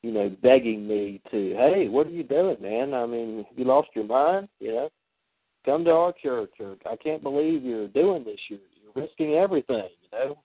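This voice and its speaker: A man talks through spiritual ideas with animated energy and acts out the voices he quotes. His voice comes over a thin, phone-like line. The sound is dry and close. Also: mid-range voice, normal speed, no echo. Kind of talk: spiritual discussion.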